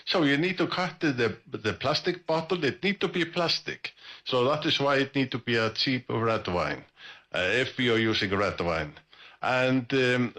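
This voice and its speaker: An Icelandic-accented man in middle age talks casually on a live ham radio stream, pausing often with fillers and restarting his sentences. His voice has a clear, bright edge and sits at an ordinary middle pitch for a man. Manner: rambling, hesitant with many fillers and self-corrections. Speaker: ham radio net presenter.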